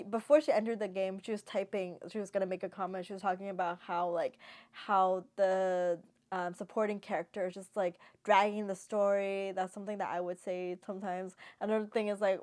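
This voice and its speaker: Female vlogger, casual and conversational, medium pitch, animated storyteller who voices her own reactions. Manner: animated retelling, acting out quoted reactions. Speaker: vlogger giving drama reactions. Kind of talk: casual chatty review to camera.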